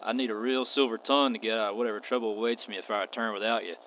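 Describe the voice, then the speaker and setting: A man's voice: on the low side, steady tone, very fast, pitched below the performer's own voice, close to an everyday voice. A man playing a courteous, unflappable wandering space cowboy in a radio play, with a laconic drawl. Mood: calm, cautious. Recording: tinny band-limited audio with clear noise.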